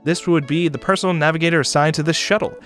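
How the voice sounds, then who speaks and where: Guy reading a visual novel out loud on stream, voice a bit high, faint music under it. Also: fast. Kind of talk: expressive read-aloud narration with casual asides.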